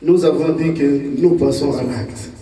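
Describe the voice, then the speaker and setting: Um homem pregando em francês numa igreja, com pausas para a tradução. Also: male voice, fairly high pitch, distant mic, crowd noise. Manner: pauses for translation, lively. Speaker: church speaker. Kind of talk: sermon in French with interpreter. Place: church gathering. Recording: echoey hall recorded from afar. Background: congregation.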